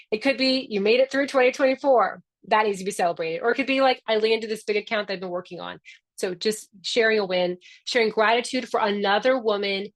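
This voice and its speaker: A woman's voice: mid-range voice, dry recording. Upbeat chatty founder lady, spanish first language, middle-aged, talking over a video call, dry close sound. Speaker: founder hosting a member orientation. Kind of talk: live online orientation talk.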